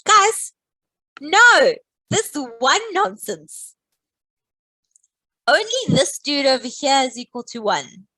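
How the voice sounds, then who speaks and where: Playful woman teaching maths, higher voice. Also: very animated, slow, pauses often, bright, forceful tone, no room sound, low-quality recording. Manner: playful, teasing, explains step by step. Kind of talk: live online maths lesson.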